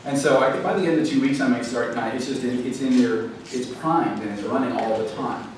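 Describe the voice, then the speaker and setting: A man's voice: mid-range voice, a little fast. A man, an author at a library event, answering audience questions in a thoughtful, explanatory way with frequent fillers and restarts. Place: library event hall, recorded from the room. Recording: echoey hall, far from the mic, audible room noise.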